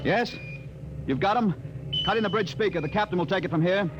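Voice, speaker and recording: A man's voice: high voice, a little fast, muffled recording, lightly acted. An actor playing an alert, dutiful male spaceship officer, giving military reports in 1950s radio drama style. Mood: businesslike. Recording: very noisy vintage radio recording.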